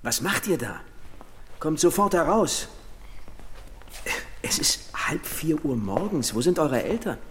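A man playing a gruff, exasperated hospital doctor in a children's radio play, blunt and agitated. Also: fairly high pitch, sing-song, slow, long pauses, fairly bright tone, slight background noise, exaggerated voice. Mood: suspicious, stern. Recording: long echoey reverb, faint music.

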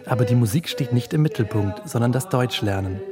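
A man's voice: mid-range voice, a little fast, over music. A man narrating a scripted news feature as a reporter. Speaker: radio reporter.

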